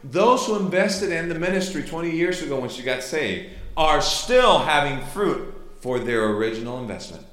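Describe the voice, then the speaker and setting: Missionary pastor, male, Chilean Spanish accent, native Spanish speaker. Bright, forward voice, informal testimony to a congregation, reverberant church room. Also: a little high, animated, moderate pace. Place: church hall during a service. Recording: echoey room sound.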